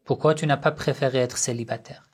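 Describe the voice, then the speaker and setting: A man giving a religious lesson on family life, speaking in short, measured phrases and asking rhetorical questions that he then answers himself. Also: medium pitch, fairly even intonation, slightly rough. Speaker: religious teacher.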